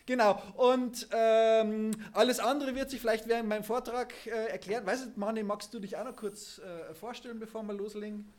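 Male lecturer with a high voice, chatty and self-mocking. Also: steady tone. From Munich, Germany. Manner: self-deprecating, chatty, halting. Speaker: lecturer giving a talk. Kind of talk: casual lecture introduction.

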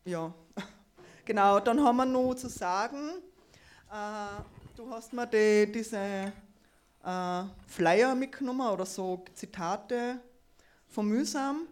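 A woman, an event organizer, speaking quickly and casually with short affirmations. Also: mid-range voice. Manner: quick, affirming, laughs between phrases. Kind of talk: casual live talk.

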